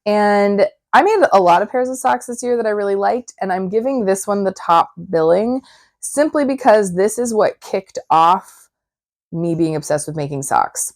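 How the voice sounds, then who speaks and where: A woman hosting her own crafting channel, chatting casually to camera while her voice is still hoarse and a bit froggy. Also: medium pitch, moderate pace, darkish tone, no echo, studio quality. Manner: chatty and upbeat. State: hoarse, recovering voice. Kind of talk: casual vlog talk to camera.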